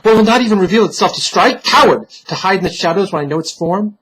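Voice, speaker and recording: Man voicing a husky, haunted, defiant wicked man. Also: sits high, animated, quick pace, fairly bright tone, exaggerated voice, poor audio. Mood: bitter defiance. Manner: dramatic, tense muttering and outbursts. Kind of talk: dramatic storybook horror reading.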